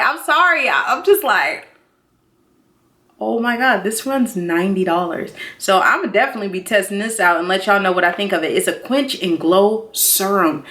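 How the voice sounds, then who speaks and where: A woman in her thirties chatting casually and excitedly to viewers as a beauty vlogger, expressive and slangy, repeating herself for emphasis. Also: mid-range voice, normal speed. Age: 30s. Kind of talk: casual excited unboxing chat.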